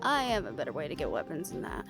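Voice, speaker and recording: A woman's voice: deep voice, wildly swooping pitch, fast talker, exaggerated voice. An actress playing a wary, protective and responsible woman hunter in a fantasy audio roleplay, blunt and skeptical in manner. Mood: blunt, practical. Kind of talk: scripted audio roleplay drama. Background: background music.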